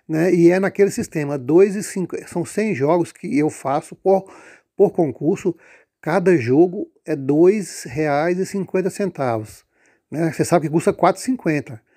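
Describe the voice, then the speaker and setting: A man casually pitching a lottery pool, rambling with many fillers and restarts. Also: mellow.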